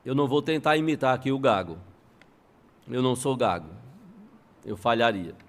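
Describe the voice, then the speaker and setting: Casting: Brazilian man, church pastor, mid-pitched and fry-edged, emphatic storyteller who drives points home by deliberate repetition. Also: expressive. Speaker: pastor preaching. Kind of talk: sermon with storytelling and quoted dialogue. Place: church service before a congregation.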